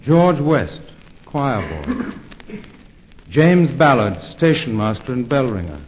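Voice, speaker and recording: A man playing a vicar giving a solemn, measured sermon in a 1940s radio play. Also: mid-range voice, big pitch swings. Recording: dull 1940s radio broadcast, faint noise.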